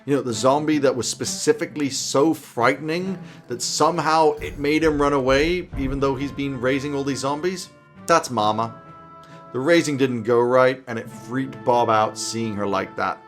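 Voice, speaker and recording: A man in his thirties giving a conversational explainer to camera as a host, with an animated, casual, dryly humorous manner. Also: medium pitch, normal speed, faint music behind. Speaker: tabletop roleplaying game advice host. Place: small home office, indoors.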